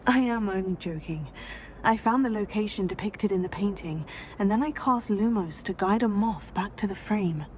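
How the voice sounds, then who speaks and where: A woman's voice: mid-range voice, normal speed, a little hiss or hum, close to an everyday voice. Woman playing composed young witch heroine. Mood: friendly, composed. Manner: polite, composed, understated. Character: polite, self-assured teenage British witch heroine. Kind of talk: video game protagonist dialogue.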